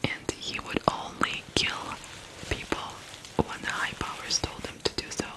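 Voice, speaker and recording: An ASMR host from Ukraine telling true crime stories in a breathy whisper, in deliberate phrases with pauses.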